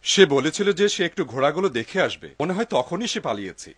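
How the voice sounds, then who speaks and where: A man's voice: on the high side, very animated, brisk, slightly rough. A man voicing a kindly, trusting older householder in a cartoon. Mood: grateful. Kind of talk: dubbed cartoon acting.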